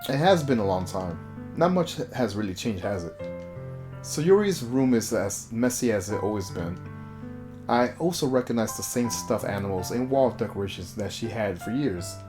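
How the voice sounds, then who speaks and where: A man with a fairly low voice reads visual novel text aloud for a stream. He drops in casual asides and trips over words now and then. Guitar music plays clearly behind him. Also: lively intonation, moderate pace. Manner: stumbles over words while reading. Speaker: game streamer.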